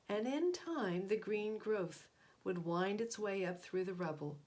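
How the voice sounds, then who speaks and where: A woman's voice: medium pitch, a little mellow. A middle-aged woman presenting a read-aloud, talking informally and explaining things to young listeners. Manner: explanatory, asks listeners questions. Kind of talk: informal children's book talk to young listeners.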